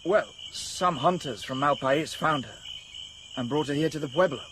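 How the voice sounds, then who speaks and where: An actor voices an earnest young man raised in a remote village, speaking slowly and solemnly in a fairly high voice. Crickets and insects chirp clearly behind him in this radio drama. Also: background noise, close to an everyday voice. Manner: solemn, earnest, halting delivery. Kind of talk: BBC radio drama acting.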